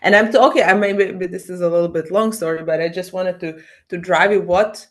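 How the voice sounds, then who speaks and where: A woman from Croatia speaking as a podcast guest, animated and full of fillers and restarts. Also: on the low side. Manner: animated, halting non-native English with many fillers. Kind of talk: casual interview.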